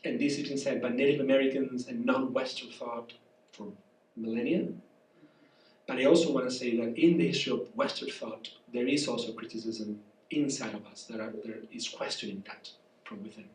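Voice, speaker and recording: A man with a Spanish accent, congested and soft-voiced, speaking haltingly as a guest professor in a public conversation. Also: medium pitch. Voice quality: soft, weak voice. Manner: halting, searching for words, apologetic. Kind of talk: public conversation, reflective lecture talk. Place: café. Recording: echoey room from far off.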